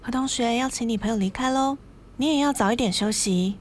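Faint ambience surrounds a high female voice. A woman plays a polite hospital nurse in a radio drama, gently firm. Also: fairly quick, slight background noise, lightly acted. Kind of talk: audio drama dialogue.

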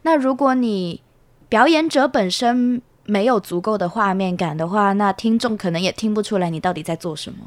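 A young woman gives casual, explanatory answers as a student guest in an interview. Her voice sits at an average pitch and is recorded dry, with hardly any room. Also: moderate pace, dry recording. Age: young adult.

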